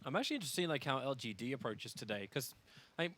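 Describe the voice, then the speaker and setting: A man's voice: mid-range voice, very animated, a bit bright. A man with an Australian accent, casting a live esports match and chatting between games in a joking, talkative way. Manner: chatty and joking, quick asides. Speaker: esports caster. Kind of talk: casual pre-game commentary banter.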